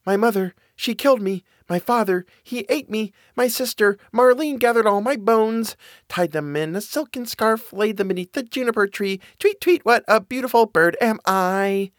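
A man voicing a magical singing bird with the spirit of a boy, in a high singsong storybook verse. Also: normal speed, no echo, clean studio sound, close to an everyday voice. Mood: plaintive singing.

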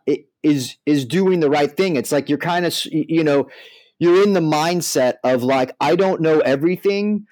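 A man's voice: on the high side, a little mellow, no room sound. A man co-hosting a podcast in an informal, conversational way. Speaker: podcast co-host, music artist manager. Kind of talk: podcast discussion with some read-out article passages.

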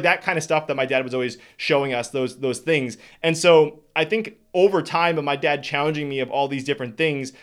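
A young man with a slightly higher voice talks in an earnest, reflective way as he walks his listeners through lessons from his life. He is casual and direct, and now and then he stops to fix a word. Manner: earnest and reflective, with small self-corrections. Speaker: speaker sharing life lessons. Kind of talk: casual talk to an audience.

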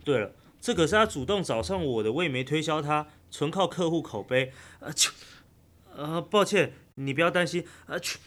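A composed, slyly playful insurance agent in a radio drama, voiced by a man. His is relaxed, and he speaks with unbothered calm. There is a teasing smugness under every polite phrase. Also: fairly high pitch, normal speed. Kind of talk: audio drama acting.

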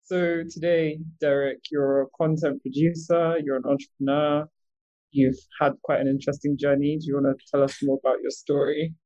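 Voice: mid-range voice, flat delivery, moderate pace, dry recording, dull audio. A podcast host who reads an upbeat scripted intro and then asks interview questions, with frequent wows and mms. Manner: upbeat, warm interjections of wow and mm.

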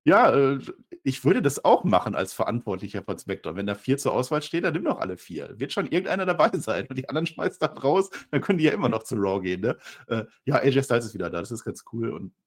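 A man with a husky voice gives casual, opinionated takes as a wrestling review co-host. He talks quickly with a very animated pitch and drops joking, ironic asides.

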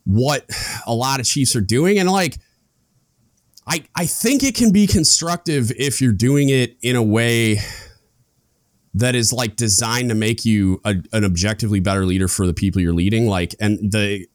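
A man hosting a podcast, talking frankly in a loud booming voice, rambling with lots of "like" and casual swearing. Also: moderate pace, dry recording, studio quality. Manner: rambling, heavy use of "like", frank and profane. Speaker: podcast host. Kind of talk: casual chat.

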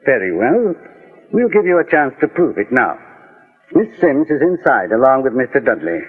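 A man playing a calm, courteous detective with measured, formal questioning in 1940s radio drama style. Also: mid-range voice, husky, narrow, tinny audio. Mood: resolute. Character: calm, authoritative older male detective, methodical and courteous. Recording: band-limited old 1940s radio recording.

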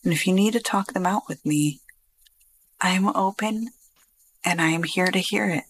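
A woman's voice: on the low side, normal speed, breathy edge, no room sound, low-quality recording. A woman co-hosting a podcast, talking at length in a casual, confident way. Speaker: podcast co-host. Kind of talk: casual chat.

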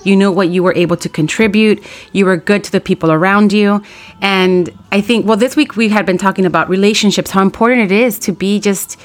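A woman's voice: medium pitch, fairly even intonation, a little fast. A woman hosting a casual interview, reflecting back encouragingly and slipping into Spanish. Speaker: host.

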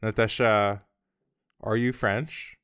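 A man's voice: lowish voice, fairly even intonation, slow pace, a bit bright, clean tone, no room sound, clean studio sound. Upbeat American man hosting a podcast. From United States. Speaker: podcast host. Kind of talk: casual podcast chat.

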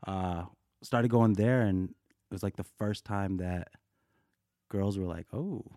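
A man from Colorado recounting his life as a dancer, loose and rambling, sometimes acting out what people said. His voice sits low, and the recording is dry and close. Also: slow pace, dark tone, dry recording. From United States, Colorado. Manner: laid-back, rambling, acts out quotes. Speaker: guest, dancer. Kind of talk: casual storytelling interview.

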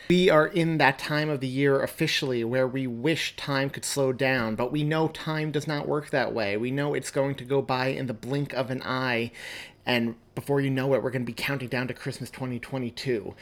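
A man reads upbeat podcast announcements as host, speaking to listeners in an enthusiastic, emphatic, familiar way. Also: mid-range voice.

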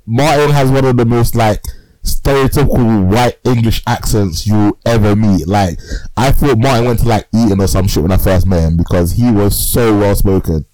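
A man co-hosting a casual podcast chat, blunt and quick to jump in, laughing often. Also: on the low side, darkish tone, no room sound, low-quality recording. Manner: blunt, laughs often, jumps in over others. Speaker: podcast co-host.

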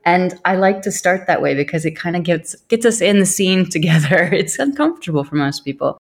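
A woman's voice: lowish voice, animated, fairly quick. A woman hosting a podcast, speaking earnestly and enthusiastically and laughing along with her guest. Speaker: podcast host. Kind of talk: interview, warm introduction.

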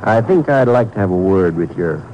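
An actor playing a firm, commanding small-town sheriff in an old radio drama. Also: male voice, lowish voice, animated, normal speed, a little mellow, highs cut off, slight background noise, natural voice.

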